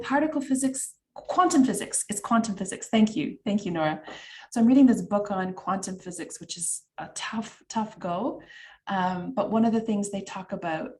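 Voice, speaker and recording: A woman's voice: medium pitch, darkish tone, low-quality recording. A middle-aged Canadian woman leading an online workshop over a video call, talking in a chatty way with many fillers and asides. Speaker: workshop facilitator.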